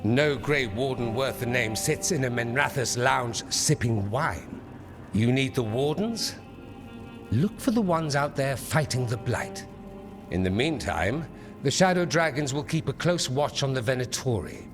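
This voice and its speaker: Wry polished noble rebel type from a fantasy game, medium pitch, music under it. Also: male voice, lively intonation, a little slow. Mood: firm, assured. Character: urbane male politician turned underground rebel, wry and idealistic. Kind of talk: video game dialogue.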